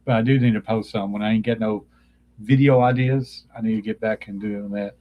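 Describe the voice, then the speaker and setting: Low-voiced man rambling on a live stream. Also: fairly even intonation, a little fast, highs cut off. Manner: meandering, nostalgic, searches for names mid-sentence. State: voice getting dry from long talking. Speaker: live streamer chatting with viewers. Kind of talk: casual rambling chat. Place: his childhood bedroom at home.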